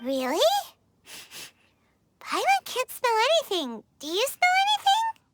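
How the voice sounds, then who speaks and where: Squeaky, excitable little fairy girl. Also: unhurried, background noise, full character voice. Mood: puzzled. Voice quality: squeaky, childlike. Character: tiny floating fairy companion, childlike, excitable, naive girl. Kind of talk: anime video game voice acting. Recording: background music behind the voice.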